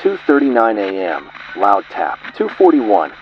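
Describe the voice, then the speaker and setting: A man's voice: lowish voice, huge pitch swings, a little slow, muffled recording, slight background noise. A man giving ominous scripted horror narration, delivered in short clipped fragments.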